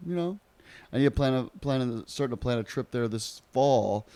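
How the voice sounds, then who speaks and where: A man's voice: medium pitch, expressive, normal speed, warm tone, dry recording. A man co-hosting a podcast in casual chat, with a joking, bantering manner. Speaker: podcast co-host.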